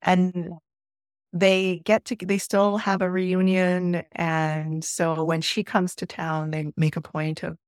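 A woman's voice: on the low side, little pitch movement, takes it slowly, no echo, clean studio sound. A middle-aged woman from Peru, a podcast guest, telling a thoughtful personal story with frequent restarts. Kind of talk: reflective conversational storytelling.

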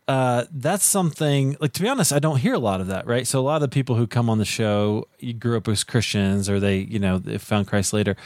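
A man from the United States hosting a podcast, talking in a relaxed, chatty way with many fillers. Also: medium pitch, dry recording, clean studio sound. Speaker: podcast host. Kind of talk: casual interview chat.

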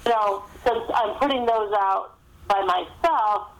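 A woman's voice: fairly high pitch, animated, a little slow, creaky, low-quality recording. Middle aged lady being interviewed, chatty storyteller, says you know a lot, casual podcast talk.

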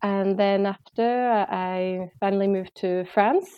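A woman's voice: medium pitch, fairly even intonation, takes it slowly, clean tone, no room sound, muffled recording. A young woman, a guest on an interview who talks in a chatty way, laughs easily and makes small restarts. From England. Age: young adult. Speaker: interview guest, English teacher.

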